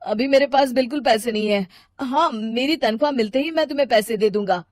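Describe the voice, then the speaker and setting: A woman's voice: on the high side, brisk, a little mellow, no room sound, studio quality, voice pushed up, natural voice. A woman voicing a harried, dutiful working man who is apologetic and placating, in a cartoon moral story.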